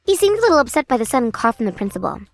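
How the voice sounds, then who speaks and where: A woman narrating as an exasperated schoolgirl in a manga dub, lively and a bit sarcastic. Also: fairly high pitch, very fast, faint music behind. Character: exasperated schoolgirl narrating her class's story.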